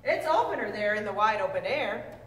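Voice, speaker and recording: A woman reading a children's book aloud to a class, with expressive, deliberate storybook phrasing. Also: medium pitch. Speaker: teacher reading aloud to sixth grade students. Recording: echoey hall, distant mic, faint noise.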